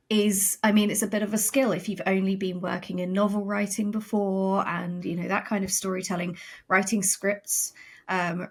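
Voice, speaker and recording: A woman's voice: mid-range voice, gravelly, a bit bright. A woman speaking as a guest on a podcast, talking in a thoughtful, conversational way with frequent fillers. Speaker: creative director and game writer, guest. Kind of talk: casual podcast interview.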